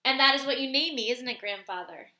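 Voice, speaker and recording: A woman's voice: high voice, quick pace, bright, forceful tone, reverberant. A woman voicing an eager, earnest young boy in a storybook read aloud. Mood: earnest, questioning.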